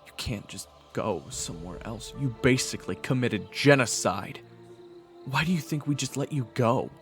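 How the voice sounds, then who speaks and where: An echoing, reverberant low male voice, acted by a man, gravelly and grave, narrates a fantasy audio drama as a wary investigator. He delivers scripted storytelling over music, with long reverb trailing the words. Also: lively intonation, moderate pace, fairly bright tone. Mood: indignant. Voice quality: deep, gravelly.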